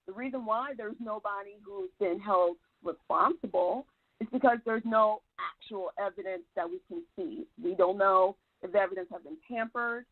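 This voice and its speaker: A woman's voice: medium pitch, moderate pace, dry recording. A woman calling in to a talk show, earnest and polite as she lays out her reasoning. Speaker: caller. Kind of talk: call-in commentary. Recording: tinny phone line.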